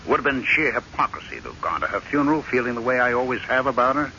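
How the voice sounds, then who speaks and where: An actor playing a bitter, resentful husband in a radio drama, sardonic, brooding and insistent. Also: male voice, mid-range voice, expressive, fast, bright, forceful tone. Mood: uneasy. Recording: muffled old radio recording, faint noise.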